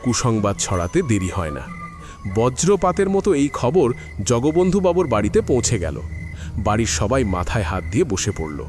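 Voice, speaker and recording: Expressive male narrator. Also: medium pitch, normal speed, music in the background. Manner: expressive storytelling. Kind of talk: scripted narration.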